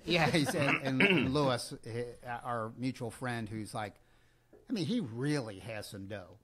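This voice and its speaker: A man with a mid-pitched voice works as a podcast co-host. He talks in a casual, conversational style suited to sports and political chat, with a joking streak. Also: lively intonation, a little slow, a crowd in the background.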